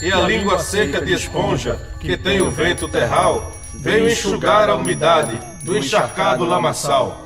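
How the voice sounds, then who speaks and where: Male reverent village celebrant: fairly high voice, declamatory praise verse, echoey, under loud music. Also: lively intonation, a little slow, recorded from a distance. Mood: celebratory. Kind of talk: chanted verse in an animated film.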